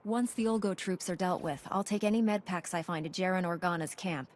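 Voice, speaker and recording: A woman's voice: fairly low pitch, steady tone, fairly quick, a little hiss or hum, close to an everyday voice. An actress playing a composed, dutiful and resolute female knight in a video game, with a formal, assured delivery. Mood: composed, concerned.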